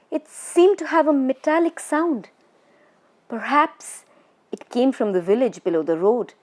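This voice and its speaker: A woman reads a scripted scary story for children as a storyteller, with a dramatic, suspenseful and expressive delivery. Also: mid-range voice.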